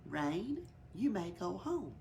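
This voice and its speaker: Storybook elephant boss, woman reader: male, patient, authoritative, weary, slow delivery, creaky edge. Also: lowish voice, big pitch swings, background noise, heavily acted. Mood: polite, official.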